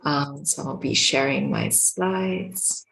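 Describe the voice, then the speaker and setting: A woman from Singapore, a qigong and yoga teacher, calmly giving an online lesson with some filler ums. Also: deep voice, takes it slowly, creaky. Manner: calm, explanatory, with filler ums. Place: home room with marble floor. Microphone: camera mic, video recording. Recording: echoey room.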